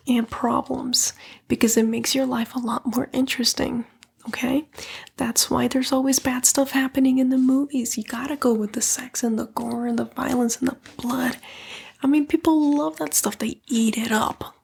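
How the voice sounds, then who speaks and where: A sly female demon , played by a woman, murmurs in an ASMR roleplay. The voice sits high yet is very breathy and mostly whispered, with crackles of fry. The delivery is coaxing, sassy and dismissive, like a fallen angel tempting someone into trouble. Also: steady tone, normal speed, darkish tone, exaggerated voice. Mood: gleefully corrupting. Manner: coaxing, flippant, disdainful, drawling slang.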